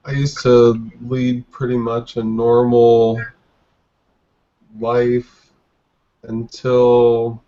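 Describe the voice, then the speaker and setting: A man in his forties from the United States talking casually as an interview guest, in a halting, reflective way. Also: medium pitch, monotone, dark tone, lo-fi sound. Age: 40s. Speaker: podcast guest, artist.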